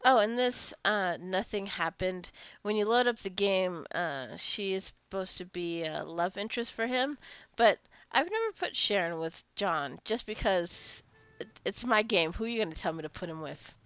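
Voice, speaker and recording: A woman's voice: mid-range voice, animated, normal speed, fairly bright tone, clear, resonant tone, dry recording. Chatty woman doing casual gameplay commentary. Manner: playfully scolding, talks to the game characters. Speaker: gamer narrating her own gameplay video. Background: life simulation game being played.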